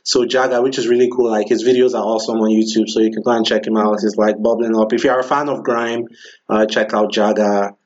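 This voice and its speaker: A man's voice: lowish voice, flat delivery, fast, a little mellow. Man, podcast host, casual and inquisitive, often restating to simplify; muffled audio. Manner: curious, asks questions and sums things up. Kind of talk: casual conversation.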